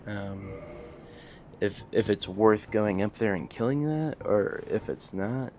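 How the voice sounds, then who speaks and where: A man hosting a casual let's play, talking in a monotone and rambling with many fillers. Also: lowish voice, slow, a little hiss or hum. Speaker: gaming channel host.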